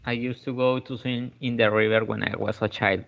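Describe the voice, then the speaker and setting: A man's voice: mid-range voice, flat delivery, moderate pace, creaky, dull audio. A man, a student giving brief, plain answers over a video call. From Caquetá, Colombia.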